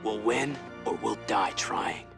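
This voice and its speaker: Low-voiced, gruff hero racer. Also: male voice, takes it slowly, music in the background. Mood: defiant. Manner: terse, resolute. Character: gruff, determined young male hero racer. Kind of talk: video game cutscene acting.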